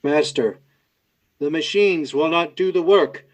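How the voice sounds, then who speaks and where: A male actor playing a solemn servant robot in a radio drama, speaking formally and deliberately. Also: on the high side, close to an everyday voice. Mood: grave. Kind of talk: old-time radio drama acting.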